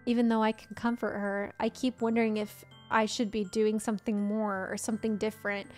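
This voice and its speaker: A hoarse woman reading as a worried devoted boy. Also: mid-range voice, little pitch movement, a little fast, faint music behind, natural voice. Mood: troubled. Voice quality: plain read-aloud delivery.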